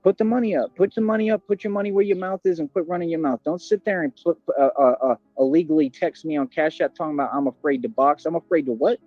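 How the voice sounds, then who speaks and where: Brief: man, bragging and challenging, fairly high voice, lo-fi dry stream clip. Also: fast talker, hardly any pauses, no room sound, soft background music. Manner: boastful, confrontational, repetitive slang. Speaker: livestreamer. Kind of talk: boastful livestream rant. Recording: dull, dry lo-fi stream audio.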